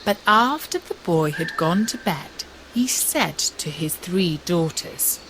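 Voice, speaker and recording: A woman narrating a fairy tale for English learners in a measured, expressive read-aloud way. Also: fairly low pitch. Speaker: storyteller. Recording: steady loud pink noise background.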